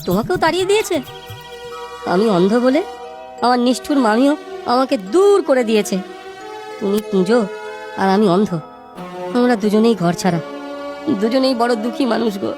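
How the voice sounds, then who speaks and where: A woman voicing a humble blind outcast in a children's folktale cartoon, halting and pleading, repeating words. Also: fairly high pitch, expressive, close to an everyday voice. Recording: music under the voice.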